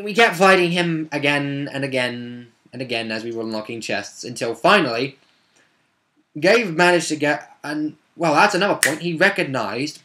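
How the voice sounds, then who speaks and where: A man's voice: fairly high pitch, a bit bright. Man rambling through a game recap. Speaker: tabletop roleplay player recapping a session. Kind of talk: casual unscripted game recap.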